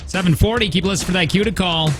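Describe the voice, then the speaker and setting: A man in his thirties runs a morning radio show in casual, joking banter. His voice sits slightly high for a man, and faint music plays behind him. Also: rapid-fire. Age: 30s. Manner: chatty, reads listener texts aloud, laughs often. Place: radio studio.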